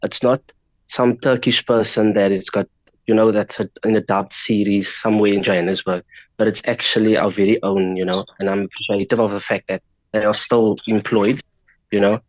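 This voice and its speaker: A man's voice: lowish voice, little pitch movement, normal speed, dry recording, low-quality recording. A man with a South African accent whose first language is Afrikaans, speaking as a guest in a radio interview, earnest and grateful. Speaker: petition organiser, guest.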